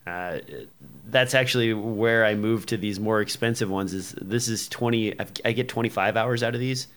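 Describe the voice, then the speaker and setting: Low-voiced man hosting a tech podcast. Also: steady tone, normal speed, a bit bright. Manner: rambling, many fillers, laughs easily. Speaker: podcast host. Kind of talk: casual tech podcast chat.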